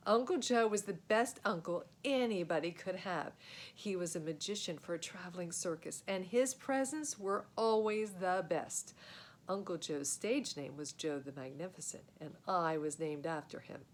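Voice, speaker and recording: A woman reading a children's story aloud in an expressive scripted narration. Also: fairly low pitch, normal speed. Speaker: storybook reader.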